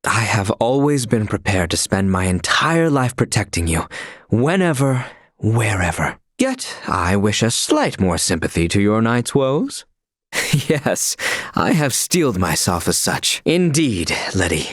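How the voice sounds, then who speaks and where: Casting: a loyal young royal knight for a game, expressive range, soft husky edge, dry close sound, ornate old-fashioned diction. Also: male voice, lowish voice, unhurried, no echo. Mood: tender nostalgia. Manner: formal, archaic, flowery courtly diction. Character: devoted young male knight serving a royal, earnest and courtly. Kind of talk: video game voice acting.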